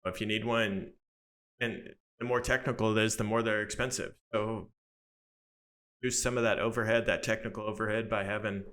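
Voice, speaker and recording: A man hosting an interview, asking brisk questions and chatting in an upbeat, friendly way. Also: lowish voice, flat delivery, a bit bright. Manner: upbeat, quick rapport-building questions. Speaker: podcast host.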